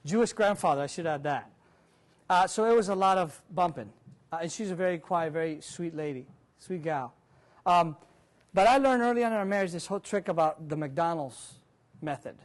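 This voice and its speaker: A man in his forties giving an animated career seminar in a large conference room, moving about and quizzing the audience, picked up by a distant camera. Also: high voice. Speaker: seminar speaker. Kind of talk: interactive career seminar talk. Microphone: far camera, room mic.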